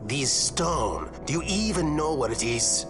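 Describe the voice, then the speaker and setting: Slow, courtly nobleman. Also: on the high side, big pitch swings. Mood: grave, disappointed. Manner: florid, measured, courtly. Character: suave, theatrical adult nobleman envoy from a rival empire. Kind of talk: game cutscene drama acting. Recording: game music behind the voice.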